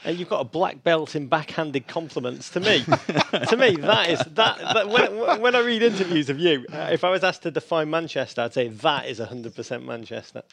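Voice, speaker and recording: A man's voice: on the high side, animated, moderate pace, creaky, few pauses, a bit bright. A man co-hosting an interview, playful and admiring in tone. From England. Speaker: co-host. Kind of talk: casual interview chat.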